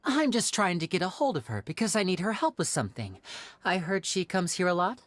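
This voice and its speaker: A man voicing a polite young magician in a video game, with a courteous, smooth-talking manner. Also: high voice, big pitch swings, a little fast, fairly bright tone. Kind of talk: video game character acting. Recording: music behind the voice.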